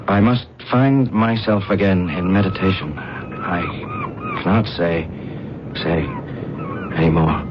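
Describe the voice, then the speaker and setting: A man playing an earnest, disoriented explorer from another planet in a radio drama, speaking in a halting, solemn, formal way. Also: fairly low pitch, close to an everyday voice. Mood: solemn, trance-like. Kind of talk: radio drama acting with first-person narration. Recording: dull vintage radio broadcast recording.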